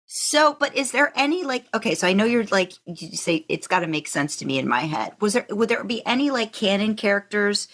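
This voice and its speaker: A woman hosting a podcast, asking informal, halting questions in a casual chat. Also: mid-range voice, animated, a bit bright. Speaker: podcast host, interviewer.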